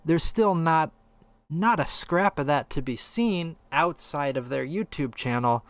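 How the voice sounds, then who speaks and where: A man's voice: on the high side, animated, unhurried, fairly bright tone, no echo. A man co-hosting a casual, opinionated gaming discussion, rambling and a little hesitant with half-finished thoughts. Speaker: podcast co-host.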